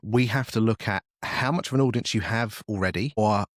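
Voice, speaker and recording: A man's voice: lowish voice, fairly quick, dry recording, clean studio sound. A man being interviewed as a podcast guest, chatting casually, thinking aloud and rambling through examples. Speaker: podcast guest, podcast network manager.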